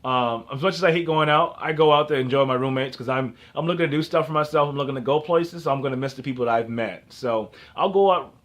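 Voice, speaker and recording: A man's voice: medium pitch, quick pace, few pauses, a bit bright. Earnest rambling young man vlogging. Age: early 20s. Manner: rambling, with frequent restarts and repeats; earnest, advice-giving. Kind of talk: casual motivational vlog.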